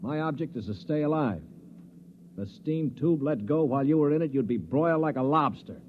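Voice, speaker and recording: A man's voice: a little high, moderate pace, lightly acted. A sneering, world-wary man played by an actor in a 1950s radio play, sardonic and mock-courteous. The recording is muffled, with a noisy background hiss. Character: cynical sneering man bent on staying alive. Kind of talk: 1950s radio drama acting.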